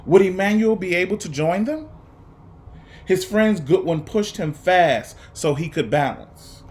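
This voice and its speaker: A man reading a children's picture book aloud, phrasing it dramatically and deliberately with emphatic pauses. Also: high voice, darkish tone. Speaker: storybook reader.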